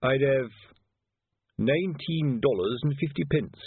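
A man voicing a weary, gruff middle-aged male watch sergeant. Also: medium pitch, lively intonation, measured pace, dry recording, narrow, tinny audio, pitched above the performer's own voice. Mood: reminiscing.

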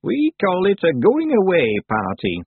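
A man voicing an ancient old woman, a witch who is stern, calm and drily matter-of-fact, in a storybook reading. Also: high-pitched, measured pace, husky, no room sound, narrow, tinny audio, voice pushed up, full character voice. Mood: brisk, matter-of-fact. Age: over 100.

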